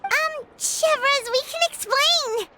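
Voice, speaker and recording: A woman voicing a tiny, cheerful, childlike fairy companion in an English game dub, with a squeaky voice. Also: slow, heavy background noise. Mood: sheepish. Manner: bubbly, refers to self in third person. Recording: music behind the voice.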